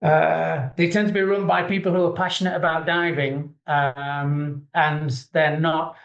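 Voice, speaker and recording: Brief: middle-aged man from the UK, slightly high voice, muffled echoey sound. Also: a little slow, creaky. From United Kingdom. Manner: relaxed, hedging with 'kind of'. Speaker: guest. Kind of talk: casual interview.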